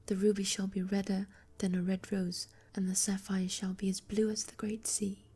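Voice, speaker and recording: A woman voicing a gentle, loyal male swallow in a soft whisper. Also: medium pitch, flat delivery, normal speed, husky. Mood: wistful.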